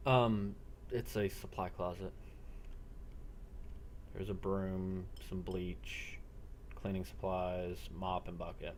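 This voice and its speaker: A man narrating a role-play game as game master over online voice chat, halting and matter-of-fact. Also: sits low, level tone, slight background noise.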